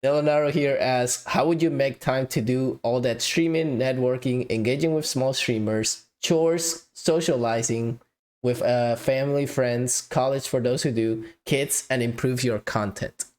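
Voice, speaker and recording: A man's voice: mid-range voice, measured pace, darkish tone. A man hosting a casual podcast interview, reading out listener questions in a playful, joking way. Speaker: podcast host.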